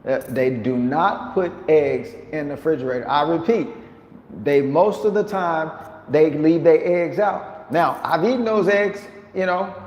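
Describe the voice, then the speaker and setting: A man vlogging casually to his viewers, talking in an animated, slangy, joking way. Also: on the high side, measured pace. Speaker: vlogger living abroad. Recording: echoey room with long reverb.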